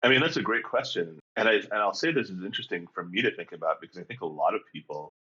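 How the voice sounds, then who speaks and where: A man's voice: sits low, animated, quick pace, sharp tone, slightly rough, dull audio. A man in his fifties, a leadership coach from England and Jamaica, telling his story in a thoughtful, open way with frequent restarts. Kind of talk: reflective conversational storytelling.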